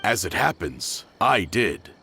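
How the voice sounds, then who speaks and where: A man's voice: sits low, big pitch swings, slow pace, vocal fry, pushed and bright, slight background noise, full character voice. An actor playing a helpful, matter-of-fact townsman in an anime game.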